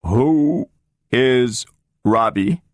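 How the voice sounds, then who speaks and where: Brief: slow, booming machine voice, flat and literal, played by a male narrator. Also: no echo, clean studio sound. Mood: flat, mechanical. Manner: booming, slow, without intonation. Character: big immobile talking machine, flat and literal.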